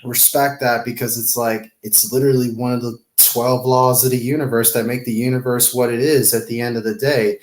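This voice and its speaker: Male podcast host, mid-pitch, upbeat and affirming, recorded in an echoey room. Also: little pitch movement, a little fast, few pauses. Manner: upbeat, affirming, repeats phrases. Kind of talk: casual interview.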